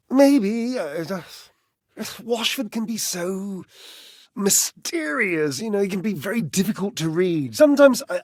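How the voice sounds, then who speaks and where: A man's voice: high voice, no echo, clean studio sound, full character voice. A man voicing a vain, sensitive clothes dryer who performs on stage, a theatrical video game character with dramatic sighs and flustered stammering. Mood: wistful, confessing. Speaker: voice actor.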